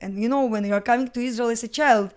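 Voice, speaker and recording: A middle-aged woman from Russia with a Russian accent, speaking English as a second language in a casual interview, halting and searching for words, often laughing. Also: a little high, a little mellow. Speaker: podcast guest, mountaineer. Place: home studio with bookshelves, indoors. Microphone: studio mic on stand, headphones.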